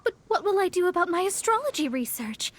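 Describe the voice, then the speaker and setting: A woman's voice: very high-pitched, sing-song, brisk. A woman voicing a proud, haughty young female astrologist in a video game who stammers when cornered. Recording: game voice track over soft music.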